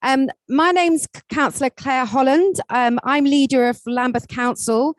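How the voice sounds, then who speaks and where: A woman's voice: sits high, measured pace, no room sound. A woman from England, a council leader, opening and moderating a policy panel with a formal welcome and frequent ums. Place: conference hall. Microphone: table microphone.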